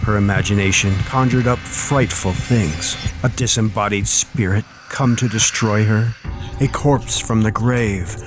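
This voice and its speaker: Low-pitched man narrating horror. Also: a little slow. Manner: theatrical, ominous. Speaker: story narrator. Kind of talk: dramatic scripted horror narration. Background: music and storm ambience.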